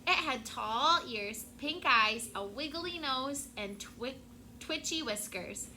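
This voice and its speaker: Bright-voiced teacher reading a storybook aloud. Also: female voice, high voice, a little hiss or hum. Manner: expressive, pauses to prompt the class. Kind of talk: reading a picture book aloud to a class.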